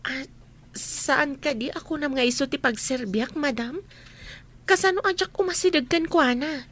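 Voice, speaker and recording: A woman's voice: sits high, normal speed, a bit bright. A Filipino woman playing a polite, naive young housemaid in a radio comedy drama. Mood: meek, polite. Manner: meek, respectful.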